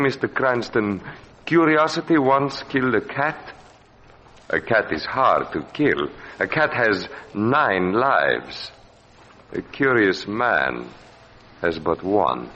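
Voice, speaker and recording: An actor playing a menacing, unsightly puppeteer's assistant in a 1940s radio thriller, with sly, formal phrasing. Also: male voice, lowish voice, bright, forceful tone, full character voice. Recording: dull, noisy old radio recording.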